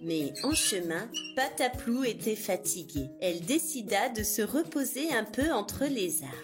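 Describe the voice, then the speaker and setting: A woman narrating a scripted fairy tale for young children in an expressive, dramatic way. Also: medium pitch, measured pace. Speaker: children's storyteller. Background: music behind the voice.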